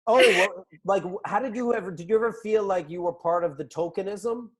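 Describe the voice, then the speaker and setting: A man's voice: sits high, brisk, poor audio. A middle-aged man with an American accent in a casual, reflective conversation as the interviewer, thinking aloud informally.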